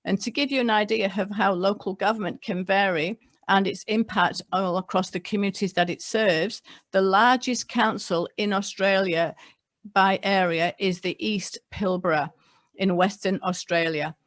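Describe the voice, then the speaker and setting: A middle-aged woman, English-born, gives a prepared webinar talk in a steady, measured way. She reads facts and figures from her notes and trips on a word now and then. Her voice sits at an everyday middle pitch for a woman, heard through a computer mic on a video call. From England. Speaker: local government leader.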